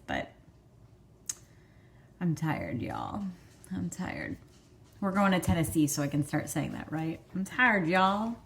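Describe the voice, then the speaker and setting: A woman in her thirties with a Michigan accent, hosting a vlog in chatty, rambling casual talk full of fillers. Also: lowish voice. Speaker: vlog host. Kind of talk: casual chat.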